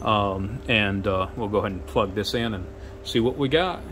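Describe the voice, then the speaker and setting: A man's voice: fairly low pitch, moderate pace. A man giving a casual, folksy hands-on technical demonstration as a radio technician at an indoor workbench. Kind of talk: casual hands-on product test narration. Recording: steady noise, workshop room ambience.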